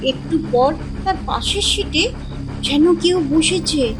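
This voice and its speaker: A woman narrating a scripted horror story in a dramatic, measured storytelling manner. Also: high voice, background noise.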